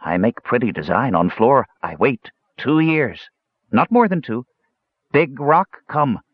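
A man's voice: medium pitch, expressive, unhurried, dry recording, voice pushed up. A man voicing a grubby, simple-minded gully dwarf in a fantasy audiobook, speaking in short, broken, childlike phrases. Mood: proud, plodding. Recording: slightly dull, lo-fi audio.

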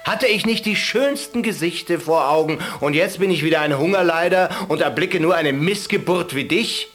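A man voicing a poor Bedouin shepherd in a radio-play fable, insolent, loud, scornful and fearless. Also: on the high side, moderate pace, faint music behind, pitched above the performer's own voice, lightly acted. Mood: scornful, outraged. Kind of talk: storytelling within a radio play.

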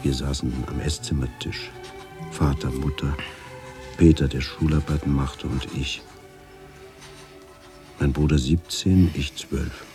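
Very deep, slow male narrator. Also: steady tone, dark tone, faint music behind. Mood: somber, reflective. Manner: measured, sober, deliberate. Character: grown man narrator somberly recalling his wartime childhood. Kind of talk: scripted radio drama narration.

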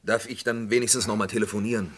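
Male actor plays wrongly accused Frenchman, vintage radio crime drama. Lowish, rough-edged voice, bewildered and protesting. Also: steady tone, quick pace, natural voice. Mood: shaken, indignant. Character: arrested Frenchman, bewildered and indignant employee protesting innocence. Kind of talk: vintage German crime radio play acting.

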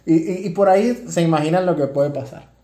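A man's voice: a little high, fairly quick, dark tone. A man with a Venezuelan accent co-hosting a podcast, explaining things in a casual, conversational way. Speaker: podcast co-host. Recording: roomy echo, faint music behind.

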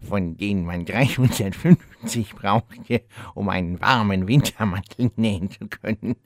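A cackling old undead warlock rambles on about the good old days in a low voice. He chuckles between boasts, and the recording is dry and close, with no room around him. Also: measured pace, no echo. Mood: grumbling nostalgic. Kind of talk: comedy audio play acting.

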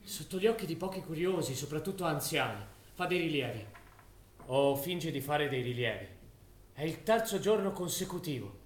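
A man's voice: on the high side, expressive, moderate pace. A man, a stage actor, performing dramatic scripted narration as a theatrical storyteller. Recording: echoey theatre recording with faint noise.

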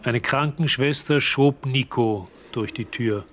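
A man's voice: mid-range voice, takes it slowly, a bit bright, slight background noise. A man narrating a scripted German radio drama.

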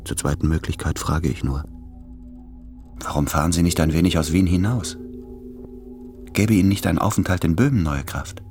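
A courteous, self-doubting male writer reads intimate letters in a radio play. His voice sits low, and his delivery is formal, tender and introspective. Faint music runs underneath. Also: moderate pace, pauses often, a little mellow. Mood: brooding.

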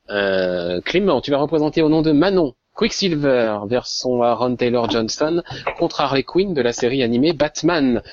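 A man's voice: medium pitch, dry recording. Man hosting, teasing, dry dull lo-fi sound, laughs a lot. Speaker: host. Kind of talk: casual panel chat.

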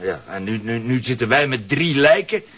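An actor playing an agitated, fearful male accomplice in a tense drama, with a husky voice. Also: medium pitch, expressive, moderate pace, a bit bright. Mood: agitated, anxious. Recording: dull lo-fi sound.

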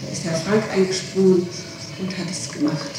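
A woman's voice: fairly low pitch, moderate pace. A woman gives spontaneous testimony under questioning, often restating her words. She is heard from far off in a very echoey room, on a noisy old 1960s tape. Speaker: person being questioned in an interrogation. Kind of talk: interrogation testimony, spontaneous answers. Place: echoey room, recorded from a distance.

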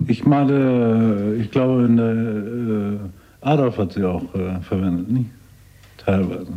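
A man's voice: lowish voice, slow pace. A man chatting casually and hesitantly, searching for his words. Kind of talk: casual chat. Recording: muffled with faint noise.

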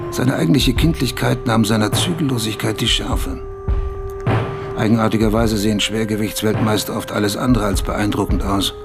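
A man's voice: on the low side, gravelly. A man reading literary scripted narration in a radio play. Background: loud music.

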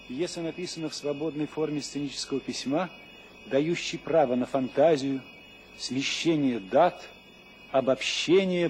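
Male announcer for a radio play, fairly high voice, scripted narration, faint background noise. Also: darkish tone.

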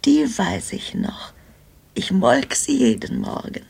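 An actress playing a frail, tender old grandmother in a radio drama, forgetful and resigned, her voice gravelly and half-whispered. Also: a little high, very animated, darkish tone, heavily acted. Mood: wistful. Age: older. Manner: slow, musing.

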